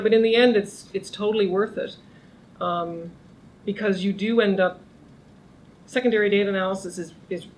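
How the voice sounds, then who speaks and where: A woman's voice: fairly low pitch, darkish tone, rough recording. A middle-aged woman lectures informally at a lunch seminar, thinking aloud with frequent restarts. She projects a big voice across the room. A faint background noise sits under her speech. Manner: conversational, frequent restarts, raises her voice to be heard. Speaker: criminology professor. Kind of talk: informal academic talk to a small group.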